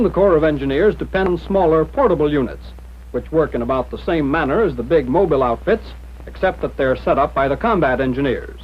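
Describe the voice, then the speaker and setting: A man's voice: fairly high pitch, husky. Muffled 1940s training film narrator, American man. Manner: plain-spoken, instructive, direct. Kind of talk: scripted 1940s instructional narration.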